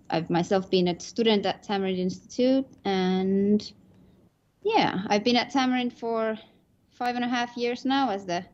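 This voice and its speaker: A woman from Finland, a master printer, chatting casually in an interview with dry humor and pauses as she searches for words. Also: medium pitch, a little mellow, lo-fi sound. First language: Finnish.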